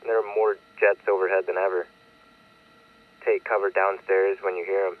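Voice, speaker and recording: Frightened young diarist over tinny radio. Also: male voice, sits low, little pitch movement, moderate pace. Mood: bleak, fearful. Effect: radio transmission filter. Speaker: actor in an audio drama. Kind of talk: audio diary in a radio drama. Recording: tinny, band-limited radio transmission.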